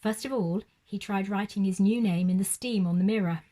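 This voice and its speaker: A woman's voice: medium pitch, fairly quick, mellow. A woman telling a children's story expressively, like a teacher reading aloud.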